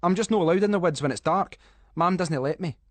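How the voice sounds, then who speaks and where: A man's voice: high voice, fairly quick, in a large hall, pitched above the performer's own voice. A Scottish man voicing an uneasy, defensive teenage boy who hides his fear, in a storybook reading. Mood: uneasy, protesting. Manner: defensive, protesting.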